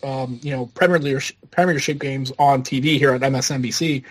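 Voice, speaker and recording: A man's voice: mid-range voice, steady tone, slightly rough. A man co-hosting a podcast, chatting in an easygoing way with plenty of fillers. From United States. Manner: quick, easygoing, filler-heavy. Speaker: podcast co-host. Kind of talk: casual podcast chat.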